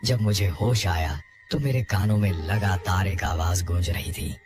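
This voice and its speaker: Low-pitched male horror story narrator. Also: measured pace, darkish tone, faint music behind. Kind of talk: scripted first-person horror narration.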